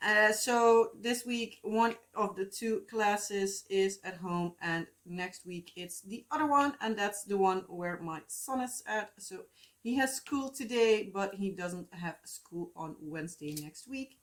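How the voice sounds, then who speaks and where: A woman's voice: medium pitch, slow. Dutch lady rambling about her craft project, lots of uh and like, recorded on her phone at home. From Netherlands. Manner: chatty, meandering, many fillers and asides. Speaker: crafting vlogger. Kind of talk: casual rambling chat.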